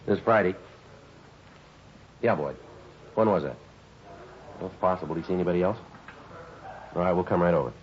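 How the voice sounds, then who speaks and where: A man's voice: low-pitched, brisk, pauses often, a little hiss or hum, natural voice. An American man acting a stoic police detective in a 1950s radio drama, speaking and narrating in a terse, matter-of-fact way. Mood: dry, businesslike. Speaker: narrator and lead actor. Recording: dull vintage 1950s radio recording.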